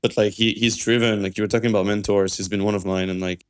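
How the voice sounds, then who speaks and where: A man's voice: fairly low pitch, little pitch movement, fast talker, smooth, no echo, clean studio sound. A man hosting a podcast interview in a friendly, chatty way. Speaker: podcast host. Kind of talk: casual interview.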